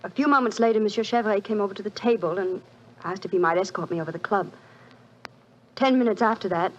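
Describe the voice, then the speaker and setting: An actress playing an anxious young widow. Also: female voice, mid-range voice, fast, close to an everyday voice. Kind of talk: 1940s radio acting. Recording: dull old radio broadcast with faint hiss.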